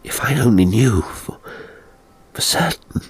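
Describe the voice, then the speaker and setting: A man voicing a tormented English gentleman in an audiobook, evasive and desperate, with a strained, halting intensity. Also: mid-range voice, expressive, breathy edge, pitched above the performer's own voice, exaggerated voice. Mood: anguished. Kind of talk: audiobook character acting.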